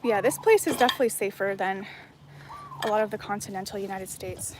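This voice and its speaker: A woman's voice: medium pitch, a little fast, noisy background. A woman from Hawaii on a casual livestream, reading her chat aloud and rambling with lots of fillers and restarts while out on a walk. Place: outdoors on a jungle walk.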